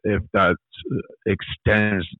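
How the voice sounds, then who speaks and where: A man hosting a casual interview, asking thoughtful, measured questions with many fillers. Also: fairly low pitch, level tone, no echo. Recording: tinny phone-like line.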